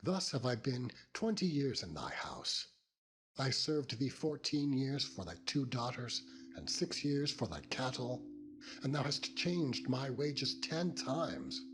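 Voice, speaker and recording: A man's voice: mid-range voice, very animated, slow, fairly bright tone, breathy edge, voice pushed up, full character voice. A man voicing an aggrieved, earnest biblical patriarch in solemn, declamatory dramatized scripture. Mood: indignant. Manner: declamatory, archaic solemn phrasing. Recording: music bed behind the voice.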